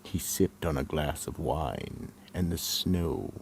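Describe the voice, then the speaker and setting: Man playing an ominous, extremely deep vault keeper. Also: lively intonation, slow, vocal fry, darkish tone, in a whisper, full character voice. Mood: ominous, solemn. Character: ominous male Keeper of the Vault, horror narrator. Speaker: host and narrator. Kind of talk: radio mystery theatre narration.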